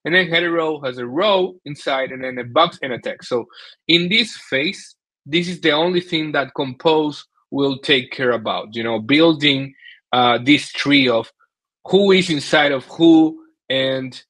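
A man in his thirties from the Dominican Republic with a Dominican Spanish accent, giving a technical presentation over a video call in a halting way, often repeating words. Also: a little high, fairly bright tone. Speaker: conference speaker, software developer. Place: small indoor room at home, video call.

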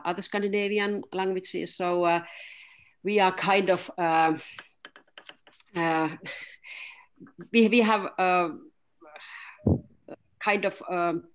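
A woman author with a Finnish accent, speaking haltingly with many fillers on a video call panel. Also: on the low side. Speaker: guest author. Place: home room.

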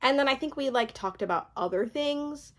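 A young woman chats to her viewers about her own life. Her voice sits a little high. She talks in a lively, animated way, laughs often and acts out what other people said. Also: normal speed. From born in Uganda, raised mostly in the US. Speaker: vlogger. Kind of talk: casual storytelling chat.

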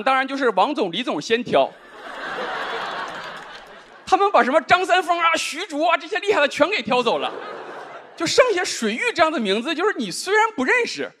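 A man in his thirties performing a deadpan stand-up comedy set on a large studio stage, speaking into a handheld microphone. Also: extremely high pitch, fairly quick, background noise. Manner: dry, deadpan delivery with rhetorical asides. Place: large indoor studio stage with live audience. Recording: live audience laughter.

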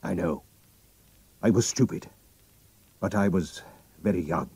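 A man's voice: sits low, measured pace, darkish tone, slightly rough, no room sound, full character voice. A man voicing a proud, anxious king who speaks formally and commandingly. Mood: troubled. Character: German-accented royal visitor, proud king, anxious.

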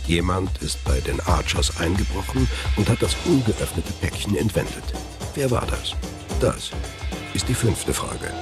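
Male narrator for suspense radio play, very low register, music underneath. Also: very animated, a little slow. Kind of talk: scripted thriller audio drama narration.

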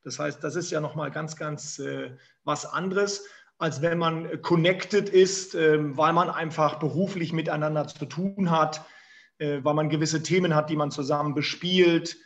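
A man's voice: a little high, steady tone, unhurried. A man in his forties from northern Germany with a northern German accent, telling his career story as a guest with many fillers. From Emsland, northern Germany. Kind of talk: storytelling interview answers. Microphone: remote call.

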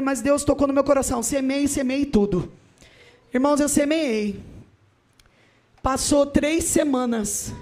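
A woman, giving an emphatic, often shouting testimony and offering appeal on a handheld mic in a large church hall. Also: sits high. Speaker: preacher and author. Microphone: handheld microphone.

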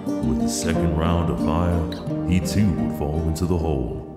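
A man with an extremely low voice narrates a dramatic tale. His scripted storytelling rings with echo, while loud plucked guitar music plays behind him. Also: a little slow. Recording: echoey long reverb under loud music.

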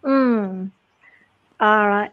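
A woman's voice: mid-range voice, very slow, no echo, phone-quality sound. A woman judging a student on a video call, polite and hesitant, with nonnative English grammar. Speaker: judge. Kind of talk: giving feedback and a score.

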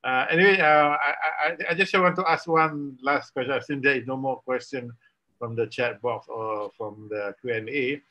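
A man's voice: medium pitch, steady tone, moderate pace, pushed and bright. A Malaysian-accented man moderating a panel over a video call, polite and a little hesitant. The audio sounds muffled and lo-fi, like compressed call sound. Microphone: video call from a laptop.

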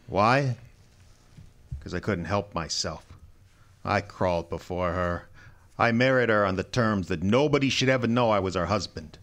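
An actor playing a tough, defensive, jealous athlete, speaking bluntly in an old-time radio crime drama. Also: male voice, low voice, normal speed, long pauses, fairly bright tone. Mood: bitter, confessing. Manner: blunt, colloquial. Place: live stage before an audience.

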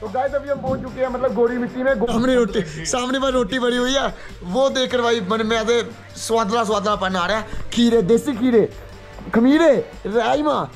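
A young man vlogging casually to an action camera held at arm's length outdoors in the hills, joking with viewers. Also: very high-pitched. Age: young adult. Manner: excitable, joking, quick asides to viewers. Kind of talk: casual travel vlog to camera. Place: outdoors in hill villages and forest.

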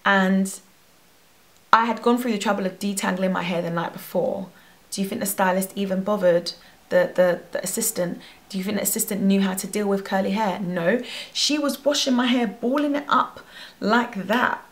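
A young woman telling an animated storytime about a hair salon, filmed talking to the camera at home. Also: medium pitch, normal speed. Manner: animated, expressive, gesturing a lot. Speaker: hair vlogger. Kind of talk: storytime vlog. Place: bedroom at home. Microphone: camera at face distance.

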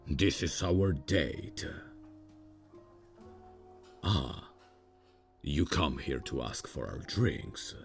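A man acts out a polite but socially stiff demon from hell in an ASMR roleplay. The voice is extremely deep and gravelly, and the delivery is very slow. He talks formally, with awkward hesitations and a deadpan tone. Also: full character voice. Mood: wary, imperious. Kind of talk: ASMR roleplay, scripted comic monologue.

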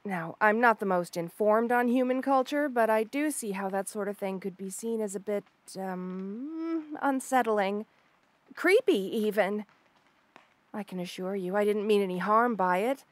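A woman's voice: medium pitch, unhurried, dry recording, natural voice. A woman voicing an obsessive, lovestruck rattlesnake lamia in an intimate audio roleplay, gushing and hesitant with coy stammers. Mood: shyly obsessive. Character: obsessive lovestruck female rattlesnake lamia, shy yet unsettling.

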